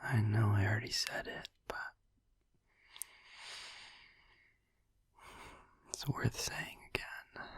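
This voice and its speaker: A man playing a sleepy, gentle, reassuring vampire boyfriend in a whispered sleep-aid audio roleplay, rambling in a hesitant, breathy, husky murmur. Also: lowish voice, dry recording, exaggerated voice. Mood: tender, reassuring. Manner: rambling, hesitant, halting, drowsy.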